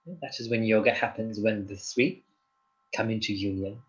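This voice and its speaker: Calm man from Zimbabwe explaining. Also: fairly low pitch, normal speed, dull audio. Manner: calm, explanatory, often checks in with "yeah?". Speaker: guest, doctor and yoga teacher. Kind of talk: interview answers.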